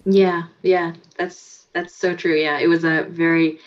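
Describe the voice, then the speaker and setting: A woman with a deep, low voice tells her story as an interview guest, reflective and full of fillers and restarts. The audio is dull and lo-fi, like a video call. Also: level tone, measured pace. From United States. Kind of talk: casual interview.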